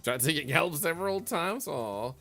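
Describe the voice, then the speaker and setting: Animated male streamer over game music. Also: fairly high pitch, normal speed. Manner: mutters counts, bursts into loud exasperated rants. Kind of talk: casual gameplay commentary.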